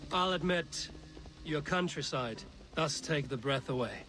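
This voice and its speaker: An actor playing a wry, protective Norse warrior in a video game, speaking in a dry, direct manner. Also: male voice, fairly high pitch, expressive, a little slow, bright, forceful tone, slight background noise. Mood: wry, teasing. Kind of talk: video game character acting.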